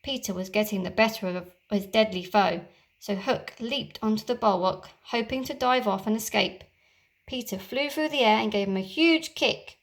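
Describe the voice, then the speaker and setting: A woman teacher reading a children's storybook aloud, with expressive, dramatic storytelling. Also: medium pitch, moderate pace.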